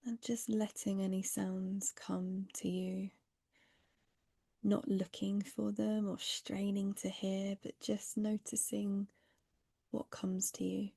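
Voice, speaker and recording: Slow, soft-spoken woman guiding meditation. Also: mid-range voice, little pitch movement, creaky, husky, dry recording, studio quality. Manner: gentle, unhurried, soft with long pauses. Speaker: meditation guide. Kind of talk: guided mindfulness meditation.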